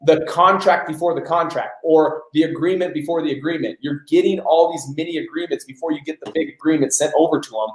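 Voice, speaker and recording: A man's voice: fairly high pitch, quick pace, few pauses, reverberant, low-quality recording. A man hosting a live question-and-answer session, coaching emphatically and talking straight to the audience. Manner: energetic, emphatic, addresses audience as guys. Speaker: host.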